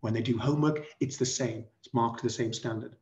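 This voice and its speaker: A man's voice: medium pitch, fairly even intonation, brisk, a little mellow. Middle-aged man presenting, dull online-call audio. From West Wales. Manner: explanatory, rhetorical questions, emphatic repetition. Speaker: college head. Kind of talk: online presentation to prospective families. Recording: dull band-limited video call audio.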